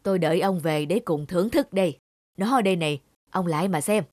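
A woman voicing a kindly, caring elderly peasant woman in an animated folk tale, with expressive, wondering exclamations. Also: fairly low pitch, a little fast, background noise, close to an everyday voice. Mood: eager.

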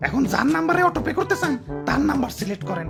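Lady voicing a gravelly cartoon fish minister, quick, sucking up, music behind. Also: sits high, heavily acted. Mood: explaining. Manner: flattering, chanting praise, rustic dialect. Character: fawning fish minister, courtier, male.

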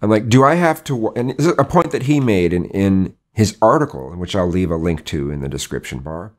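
Hoarse middle-aged man venting opinions. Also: lowish voice, expressive, a little fast. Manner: rambling, frequent restarts and rhetorical questions. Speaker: vlogger. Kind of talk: casual opinion monologue to camera. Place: indoor studio with colored backdrop.

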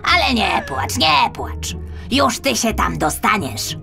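A woman's voice: medium pitch, very animated, slow pace, creaky, music in the background, heavily acted. A woman voicing a kindly, hesitant female crow in a children's audiobook, cawing and repeating her words emphatically. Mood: kindly, reassuring. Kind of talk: children's audiobook character acting.

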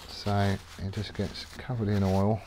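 Low-voiced man in a workshop. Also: little pitch movement, a little slow, mellow, a little hiss or hum, rough recording. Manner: rambling, matter-of-fact, lots of ums and restarts. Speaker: workshop vlogger. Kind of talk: casual demonstration while working. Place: garage workshop, indoors.

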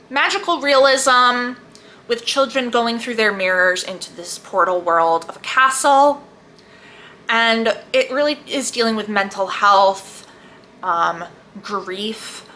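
A woman with a bright, forward voice talks casually as a book vlogger. Her delivery is animated and emphatic, with a gushing, chatty feel. There is some faint noise behind her. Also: medium pitch.

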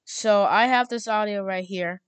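Female tutorial host, casual and halting, clear-voiced, closely recorded with no room sound. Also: medium pitch, dry recording.

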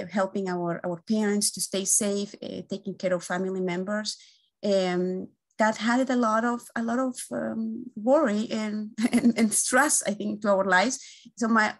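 A woman, giving an earnest, halting webinar presentation as an engineering team lead, often pausing on "uh", over a video call from an indoor room. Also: mid-range voice. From Guatemala. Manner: earnest, halting, with frequent "uh" fillers and restarts. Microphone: video call webcam.

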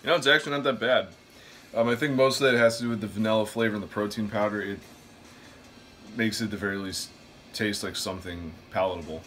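A man in his twenties talking casually to camera in a vlog, relaxed and a bit self-deprecating, first from a parked car, then in a small kitchen. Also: lowish voice, fast, a little hiss or hum. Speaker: vlogger.

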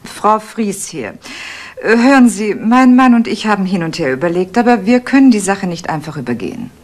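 A woman's voice: mid-range voice, lively intonation, normal speed, natural voice. A woman acting an insistent, curt married complainant in a radio crime drama. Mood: insistent, indignant.

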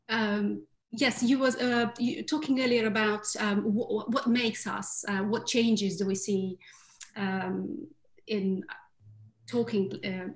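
A young woman from Moldova, an author and native Romanian speaker, speaking earnestly with many fillers on a video call from home. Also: mid-range voice. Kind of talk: panel discussion on video call. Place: home room with bookshelves. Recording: echoey room.

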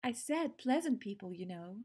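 A woman's voice: mid-range voice, sing-song, moderate pace, warm tone, slightly rough. A woman playing a proper, motherly elder sister in an audio drama, with a prim, lilting way of speaking. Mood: dreamy. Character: proper, motherly eldest sister, gently scolding. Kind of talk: theatrical audio drama acting.

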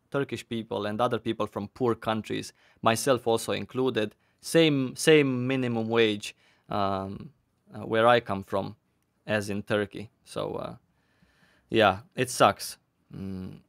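Hesitant low gravelly male host. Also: steady tone, unhurried, dry recording, studio quality. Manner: hesitant, many fillers, reads chat questions aloud. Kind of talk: livestream panel chat. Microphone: headset.

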